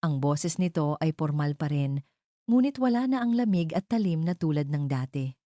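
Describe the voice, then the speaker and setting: Female narrator for romantic drama: low-pitched, soft dark tone, measured dramatic phrasing. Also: expressive, no echo, clean studio sound. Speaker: story narrator. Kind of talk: dramatic scripted storytelling narration.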